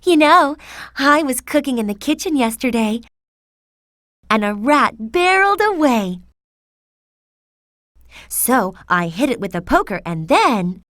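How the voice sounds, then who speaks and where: A talkative storybook wife, put on by a woman narrator, gossiping in a high voice. Her chatter runs on eagerly with lively swoops. The recording is dry. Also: measured pace, pauses often, no echo, heavily acted. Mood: eager, gossipy. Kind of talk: children's storybook character voice.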